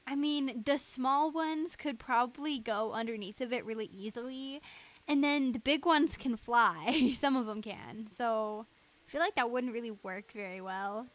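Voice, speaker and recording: Woman game streamer, giggly and casual, unhurried delivery, voice on the high side. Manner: giggly, reads chat aloud. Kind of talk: casual livestream chat while playing.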